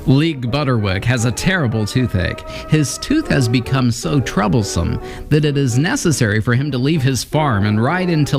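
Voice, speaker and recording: Man, host, scripted narration, mid-range voice, background music. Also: medium pitch.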